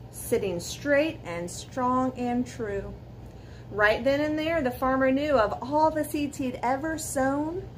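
High-voiced woman reading a bedtime story, noisy recording. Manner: expressive, dramatic emphasis with questions to young listeners. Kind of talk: read-aloud children's bedtime story with asides. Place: living room at home beside a fireplace. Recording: clear background noise, room ambience.

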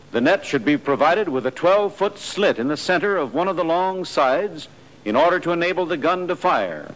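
A man giving scripted, measured instructional narration for a 1940s army training film. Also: a little high, fairly bright tone, low-quality recording.

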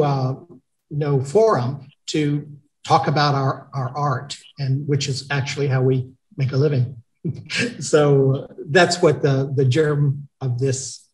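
A man's voice: a little high, rough recording. A middle-aged man co-hosting a casual video call chat, talking in a rambling, easygoing way. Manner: chatty, rambling, with restarts. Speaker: co-host, painter. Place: home studio with paintings on walls.

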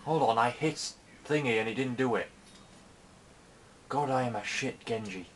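A man's voice: medium pitch, moderate pace, fairly bright tone, slight background noise. A man giving casual, self-deprecating commentary over his own gameplay, swearing and muttering to himself. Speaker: gaming channel host.

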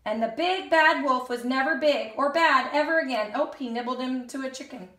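A woman's voice: high-pitched, slight background noise. A young woman reading a picture book aloud expressively, the way one does for small children. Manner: expressive, slow and deliberate for children. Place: indoors in a room at home. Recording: echoey room sound.

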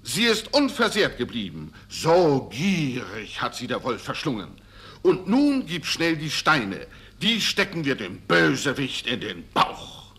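A man's voice: mid-range voice, huge pitch swings, unhurried, pushed and bright, husky, exaggerated voice. An actor playing an indignant, good-hearted huntsman in a fairy-tale radio play, declaiming and exclaiming. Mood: relieved, brisk. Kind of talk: 1970s German fairy-tale record acting.

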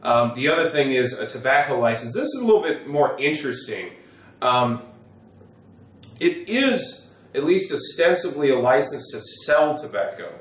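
A man's voice: medium pitch, very animated, moderate pace. American man, distant and echoey. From United States. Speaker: attorney, presenter. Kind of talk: informal explainer to camera. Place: small wood-panelled office, indoors. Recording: roomy, echoing sound from a distance.

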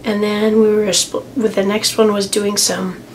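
A hoarse woman, getting over a cold, chats her way through a show-and-tell of her journal pages. She talks casually, with frequent ums and restarts, at an ordinary pitch. Faint hiss sits under the voice. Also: flat delivery, unhurried, a little mellow, rough recording. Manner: chatty, many ums and restarts. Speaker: journaling vlogger. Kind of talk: casual tutorial walkthrough. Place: home desk, camera over a tablet.

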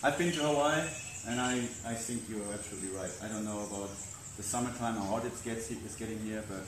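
A man interviewing cruisers in a chatty, agreeable, somewhat halting way. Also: lowish voice. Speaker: interviewer, sailing channel host. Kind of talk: casual interview questions. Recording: echoey veranda with background noise.

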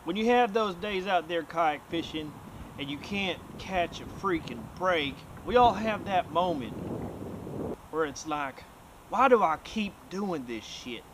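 A man's voice: high voice, unhurried, noisy background. A man, talking casually and energetically to the camera outdoors by a bay. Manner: energetic, loose, swears casually. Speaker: vlog host.

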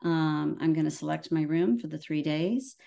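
A woman's voice: low voice, normal speed, creaky, warm tone. A woman with an Irish accent giving a casual webinar product presentation over a video call, with frequent fillers and restarts. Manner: hesitant, many fillers and restarts.

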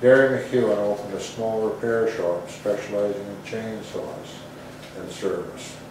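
A man's voice: on the low side, steady tone, unhurried, a little mellow. A man in his seventies reading aloud from a local history book in a wood-panelled room, picked up at a distance. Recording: roomy, distant mic, noticeable background noise.

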